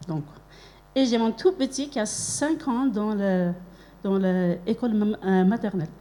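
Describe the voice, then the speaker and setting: A woman in her forties who is not a native French speaker, speaking in a halting, earnest way as a parent at a school event. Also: medium pitch, dark tone. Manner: halting, searching for words, earnest.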